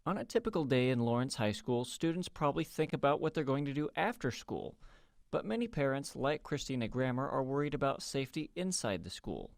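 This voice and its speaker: A man giving a scripted TV news report in a measured, emphatic reporter's delivery. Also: mid-range voice, very animated, vocal fry, no echo, studio quality.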